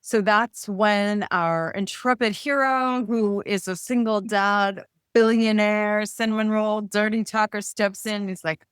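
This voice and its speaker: A woman author being interviewed, pitching her books conversationally with upbeat, playful storytelling. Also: steady tone, measured pace, fairly bright tone, clear, resonant tone, dry recording, clean studio sound.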